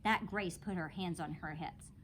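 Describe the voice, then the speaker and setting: A woman's voice: lowish voice, normal speed, fairly bright tone, breathy edge. A woman narrating a children's storybook as a teacher would, expressively and dramatically, in a childlike first person. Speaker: teacher reading aloud to children.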